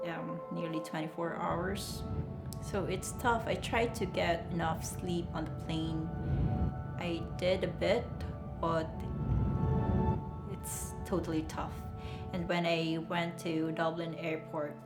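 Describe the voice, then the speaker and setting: A woman from the Philippines, recounting her races in a documentary interview, halting with frequent fillers and restarts. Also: on the low side, little pitch movement. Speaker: amateur marathon runner. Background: music bed under the voice.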